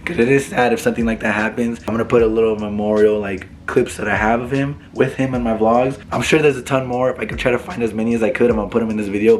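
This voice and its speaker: A young man vlogging casually to his viewers, slangy and relaxed, sick and losing his voice. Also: medium pitch, a little hiss or hum. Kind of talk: casual vlog talk to camera.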